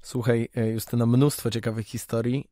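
A man's voice: medium pitch, flat delivery, normal speed, dark tone, no room sound, studio quality. A man hosting a podcast, interviewing a guest in a casual conversational style. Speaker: podcast host.

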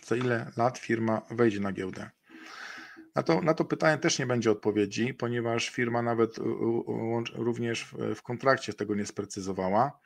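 Middle-aged male webinar presenter, gravelly voice, hesitant, fills gaps with "e", webcam sound. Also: mid-range voice, moderate pace. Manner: hesitant, many fillers and restarts. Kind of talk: investment webinar talk.

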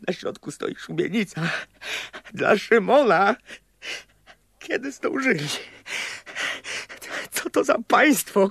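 High-pitched, shaken young man. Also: bright, forceful tone, no room sound, clean studio sound, full character voice. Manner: agitated, halting, repeating words. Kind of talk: radio drama acting.

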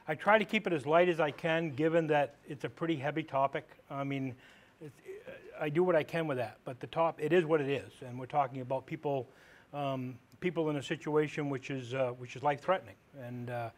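A man's voice: fairly high pitch, fairly quick. A middle-aged man from Maine with a big voice, a police lieutenant giving a live classroom lecture in a conversational, plain-spoken way with rhetorical questions. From Maine, United States. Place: training room with a seated audience. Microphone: far camera at the back of the room.

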